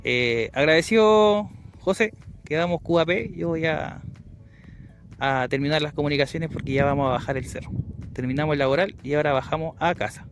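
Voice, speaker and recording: A man's voice: on the high side, fairly quick, soft background music. A man with a Chilean Spanish accent talking casually as an amateur radio operator doing a field test outdoors. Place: outdoors on a hilltop.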